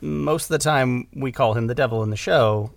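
Male podcast guest, medium pitch with heavy fry, conversational and rambling, dry recording. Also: moderate pace, no room sound. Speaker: podcast guest, audio drama writer and director. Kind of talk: casual conversational discussion.

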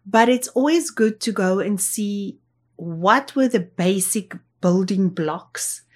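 A woman's voice: mid-range voice, animated, takes it slowly. Woman. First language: Afrikaans. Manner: explanatory, thinks aloud with restarts. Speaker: guest, associate professor. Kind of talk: interview.

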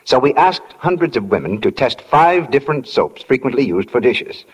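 A man working as a radio announcer, delivering polished 1940s-style introductions and sponsor pitches. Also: very animated, normal speed, slightly rough. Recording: 1940 radio broadcast, reverberant hall.

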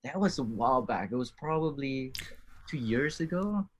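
A man's voice: medium pitch, expressive, moderate pace. Hesitant podcast host man, many ums. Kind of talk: casual podcast interview.

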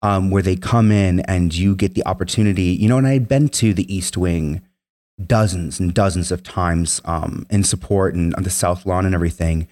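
A man's voice: sits low, fairly even intonation, normal speed, a little mellow. A young man telling his story as an interview guest, earnest and meandering, full of "um" and "you know". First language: Spanish. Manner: heavy fillers, earnest, meandering. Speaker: guest, former military member.

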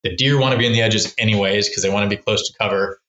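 Low, bright-voiced man in a roomy echo. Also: steady tone, fast, muffled recording. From Texas. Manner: rambling, many restarts and fillers. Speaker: wildlife researcher, podcast guest. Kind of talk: casual explanatory interview talk.

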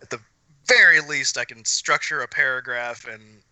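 A man with a very bright, sharp voice at a medium pitch, close and dry on the mic. He hosts a casual chat, candid and rambling. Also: moderate pace, no room sound, rough recording. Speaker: podcast host.

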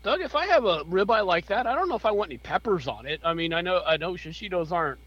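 Man, tired, hesitant with fillers, slightly high voice, dull lo-fi sound. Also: animated, quick pace, a bit bright. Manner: easygoing, hesitant, many fillers. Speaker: bus driver, co-host. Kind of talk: casual chat.

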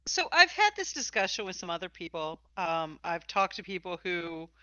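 A woman's voice: on the low side, big pitch swings, normal speed, sharp tone, no room sound, rough recording. A woman hosting a conversational interview about tabletop games, speaking in an emphatic, opinionated way. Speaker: podcast host and interviewer.

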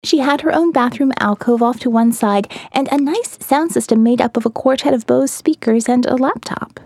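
A woman's voice: high voice, normal speed, darkish tone, dry recording, clean studio sound. A woman reading scripted first-person dramatic narration as the narrator of an audio novel.

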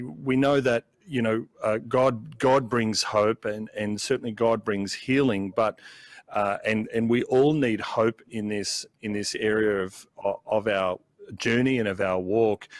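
A man hosting a conversational church interview, feeling his way through questions in a halting manner. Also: mid-range voice, no room sound. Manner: halting, searching for words.

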